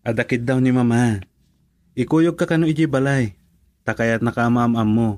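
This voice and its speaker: A man's voice: mid-range voice, steady tone, normal speed, a little mellow, close to an everyday voice. A man with an Ilocano accent playing an earnest, persistent young boyfriend in a romantic radio drama. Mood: earnest, persuading.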